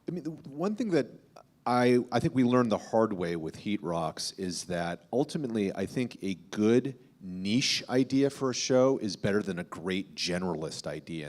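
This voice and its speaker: A man speaking as a podcaster on a panel, explaining his advice analytically with examples. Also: fairly low pitch.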